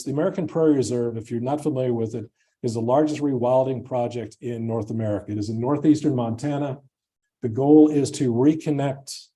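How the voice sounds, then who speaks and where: A man's voice: medium pitch, warm tone. A man giving an informal presentation as a conservation scientist, speaking in an enthusiastic, conversational way. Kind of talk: informal conference talk to an audience.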